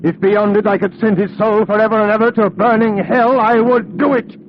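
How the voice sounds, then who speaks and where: A man playing anguished young husband, high male voice, earnest melodramatic radio acting, noisy old recording. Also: fairly even intonation, fairly quick, dull audio, natural voice. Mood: vengeful. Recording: noisy, band-limited vintage radio recording.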